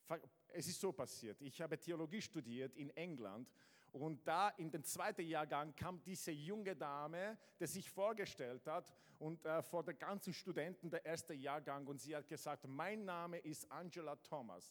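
A man's voice: a little high, normal speed. A man from Southern Italy with an Italian accent, preaching to a congregation with energy, often stumbling and restarting. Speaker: guest pastor. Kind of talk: lively sermon to a congregation. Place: church hall with congregation. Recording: large hall with long reverb.

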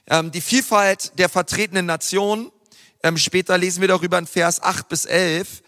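A man delivers a sermon with earnest emphasis, often pausing on fillers and restarting phrases. His voice sits high and rings bright and forceful. The sound carries a long reverb from a large church hall. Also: fairly even intonation. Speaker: preacher.

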